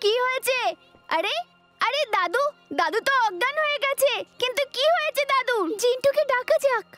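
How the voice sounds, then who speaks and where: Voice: very high voice, normal speed, music in the background. An actor voicing a heroic, earnest young robot boy in an urgent way for a children's cartoon dub. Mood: alarmed, worried.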